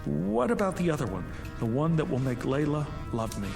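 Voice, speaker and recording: Desperate romantic suitor: lively, highish voice, effusive vintage TV drama, light music underneath. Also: male voice, brisk, creaky. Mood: skeptical. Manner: eager, effusive. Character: lovesick, desperate, impetuous young suitor. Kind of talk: vintage television drama acting.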